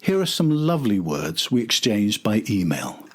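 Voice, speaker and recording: An older man with a slightly husky voice, reading scripted documentary narration as a podcast host. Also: fairly low pitch, big pitch swings, moderate pace.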